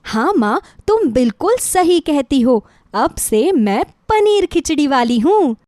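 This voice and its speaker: A woman's voice: high-pitched, big pitch swings, slow, a little mellow, no room sound, studio quality, heavily acted. A woman voicing a kind, cheerful young daughter who sells food, speaking politely and eagerly in a moral story. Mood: happy, eager.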